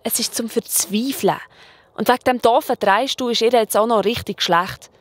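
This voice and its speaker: A woman's voice: fairly high pitch, normal speed. A woman with a Swiss accent narrating a children's story in a colloquial style.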